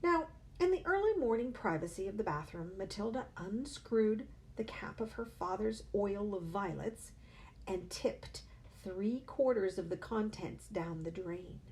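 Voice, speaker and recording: A woman, a teacher, reading a children's novel aloud with expressive storytelling. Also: medium pitch, a little slow, rough recording.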